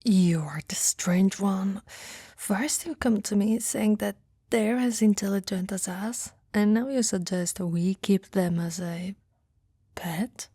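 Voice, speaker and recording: A reluctant, grumbling giantess, acted by a woman in an ASMR roleplay. Her voice is soft-edged and dark, with plenty of breath, delivered in a dry, unimpressed manner. The sound is close, with no room echo. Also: medium pitch, takes it slowly, slightly rough. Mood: sceptical, irritated.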